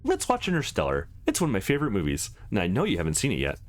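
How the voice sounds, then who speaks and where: Man as an easygoing boyfriend with lively pitch. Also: very fast, soft background music. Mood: keen. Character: easygoing, affectionate young man out on a date.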